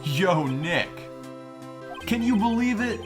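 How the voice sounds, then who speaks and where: A man's voice: sits high, animated, slow pace, over music. A man voicing a whiny, lovelorn young slacker with an overwrought, slangy delivery in a fan-dubbed game. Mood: heartbroken. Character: melodramatic, whiny young male slacker, lovelorn best friend. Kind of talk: fan-dub video game dialogue.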